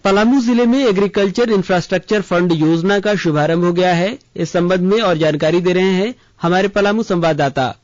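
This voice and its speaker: A man reading a scripted regional news bulletin in a formal, measured way. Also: high voice, no echo. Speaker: radio news reader. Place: radio studio. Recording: slightly dull, band-limited radio audio.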